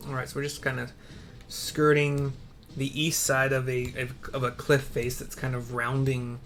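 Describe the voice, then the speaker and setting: A man's voice: mid-range voice, little pitch movement, moderate pace, fairly bright tone, a little hiss or hum. A man giving casual let's play commentary, slangy and profane, thinking aloud as he plays. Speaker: gaming video host.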